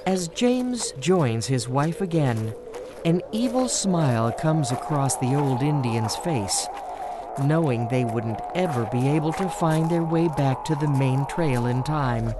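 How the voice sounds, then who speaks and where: A man's voice: a little high, expressive, a little slow, heavy background noise. Theatrical male radio drama narrator. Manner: theatrical, ominous. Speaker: narrator and announcer. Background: music.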